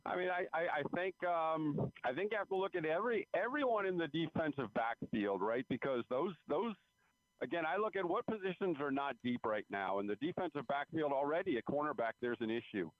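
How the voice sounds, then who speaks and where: A man giving measured, explanatory sports analysis as a beat writer guest, over a tinny phone line. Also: fairly high pitch, sing-song, no echo. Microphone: phone call.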